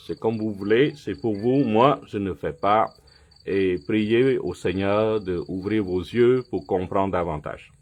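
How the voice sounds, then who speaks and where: Slow, low-voiced man preaching in his second language. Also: a little mellow. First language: not French. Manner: slow and deliberate, checks in with "ok?", many pauses and fillers; non-native French with some slips. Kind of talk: sermon and Bible teaching.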